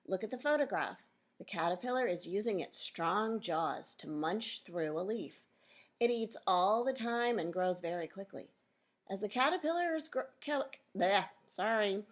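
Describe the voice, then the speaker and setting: Female teacher for children's nonfiction read-aloud; lower-pitched than some but ordinary range, noticeable vocal fry, instructive asides to pupils. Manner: instructive, addresses children directly with questions and explanations.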